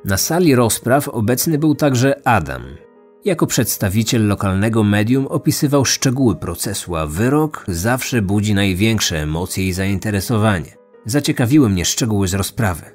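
A man narrates a true-crime podcast as its host, reading from a script. His voice sits fairly low, with music playing underneath. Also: a little mellow.

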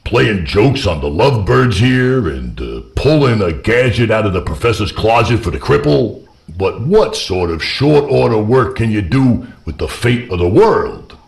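A man's voice: lowish voice, sing-song, unhurried, gravelly, exaggerated voice. A man playing a smug, combative fellow in an audio drama, sly and theatrical. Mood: smug, challenging.

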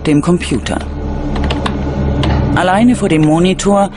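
A man narrating a scripted true-crime documentary in a measured, dramatic way. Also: high-pitched, over loud music.

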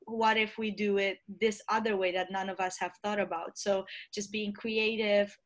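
A woman's voice: medium pitch, level tone, moderate pace. A young woman from Romania in her early twenties, speaking in an interview over a video call with earbuds, thoughtful and full of ums and restarts. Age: young adult. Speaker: interview guest, student leader. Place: bright room at home.

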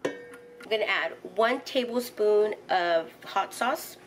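A woman's voice: mid-range voice, slow pace, soft background music. A middle-aged woman hosting a home cooking channel, casually narrating each recipe step with the odd "um" as she cooks in her kitchen. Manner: instructional, walks through each step with fillers.

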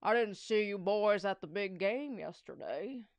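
Woman acting storybook principal, male, sly, knowing, measured false sympathy, close dry sound. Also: mid-range voice, lively intonation, no echo.

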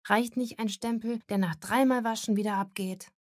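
A woman's voice: on the high side, steady tone, moderate pace, no echo, studio quality, lightly acted. A woman playing a meek, obedient girl who pleads timidly in a radio play. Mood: meek, pleading. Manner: timid, submissive.

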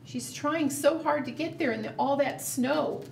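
A middle-aged woman telling a picture-book story to preschoolers in simple, expressive language, often asking the listeners questions. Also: high voice, unhurried, gravelly. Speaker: children's librarian and storytime host. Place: indoor room, book shown to camera. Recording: roomy, distant mic, background noise.

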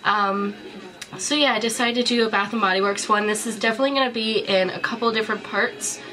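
Bright-voiced young woman chatting, a bit sick. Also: mid-range voice, fast, rough recording. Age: 20s. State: sick with a cold. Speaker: vlogger. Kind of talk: casual chat to camera, showing a collection. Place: small bedroom, indoors.

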